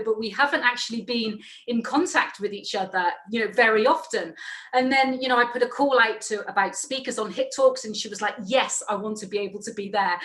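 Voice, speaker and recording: A woman's voice: fairly high pitch, a bit bright, low-quality recording. A woman hosting an online talk event, speaking off the cuff in an effusive, encouraging way. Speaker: international speaker. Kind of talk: unscripted live introduction of a speaker.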